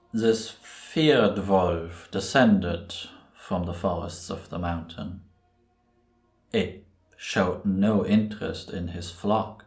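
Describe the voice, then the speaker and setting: Very slow, deep, solemn priest acted by a man. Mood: ominous storytelling. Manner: solemn, deliberate, ominous, with long pauses. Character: grave, brooding male priest recounting a dark tale. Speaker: voice actor in an audio drama. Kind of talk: cinematic dramatic storytelling in audio roleplay. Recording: roomy echo on the voice.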